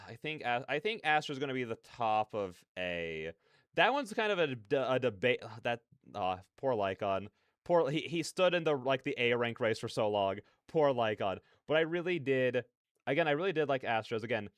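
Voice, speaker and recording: Guy doing reaction video commentary, casual n rambly, clean smooth voice, dry mic. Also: mid-range voice, dry recording. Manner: rambling, peppered with "like" and "you know".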